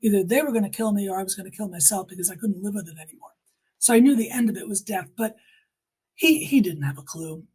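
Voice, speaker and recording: An older woman giving personal testimony in conversation as an interview guest, speaking emphatically with deliberate repetition. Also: mid-range voice, mellow, breathy edge.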